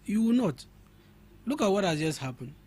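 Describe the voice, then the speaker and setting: A man's voice: high-pitched, big pitch swings, moderate pace, a little mellow, husky. Emphatic Ghanaian man arguing a point. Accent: Ghanaian English. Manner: emphatic, argumentative, repeats words while building a point. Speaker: studio guest. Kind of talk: radio talk show interview.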